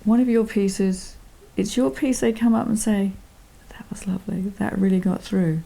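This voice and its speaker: A woman's voice: mid-range voice, fairly even intonation, moderate pace, creaky, dark tone. A woman, a concert organist interviewing a composer, talking in an agreeable, chatty way and laughing easily. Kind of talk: conversational interview.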